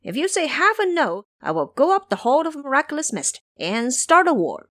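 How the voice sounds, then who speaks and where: Woman voicing a boastful, animated monkey trickster. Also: high voice, normal speed, pitched above the performer's own voice. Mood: commanding. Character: boastful, crafty male monkey trickster posing as master.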